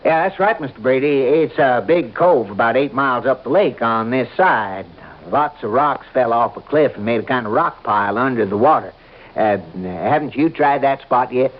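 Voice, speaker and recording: A man's voice: medium pitch, normal speed, natural voice. Man playing a folksy fishing-lodge keeper, muffled sound. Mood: genial. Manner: folksy, easygoing, mild. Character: folksy, affable male fishing-lodge proprietor. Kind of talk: old-time radio drama acting. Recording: muffled, noisy old radio broadcast.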